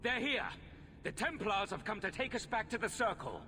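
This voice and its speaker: An actor playing a fanatical, defiant rebel blood mage in a video game, declaiming theatrically. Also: male voice, sits high, big pitch swings, moderate pace, noisy background. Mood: defiant fury. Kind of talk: dramatic video game acting.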